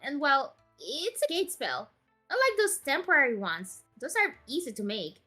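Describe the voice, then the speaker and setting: A woman voicing a playful, pouty, clingy young female mage from an anime visual novel, excitable and stubborn. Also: high-pitched, moderate pace, faint music behind, exaggerated voice. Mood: eager.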